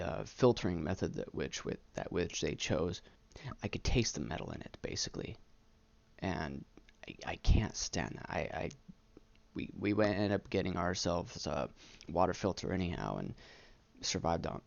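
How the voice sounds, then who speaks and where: A young adult man talking candidly about himself as a vlogger, halting and stuttering on words, earnest and self-deprecating. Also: sits low, vocal fry, no echo, highs cut off. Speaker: YouTube vlogger. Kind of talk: candid personal monologue, casual chat. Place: small room indoors.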